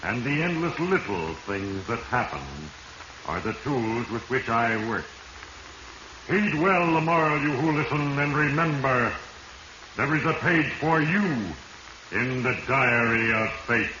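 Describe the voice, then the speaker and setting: A man's voice: medium pitch, animated, a little slow, natural voice. A muffled, noisy 1940s radio recording carries the echoing voice of Fate, played by a man. He is a grave, all-knowing narrator who pronounces judgement with solemn, ominous deliberation. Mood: solemn, moralizing.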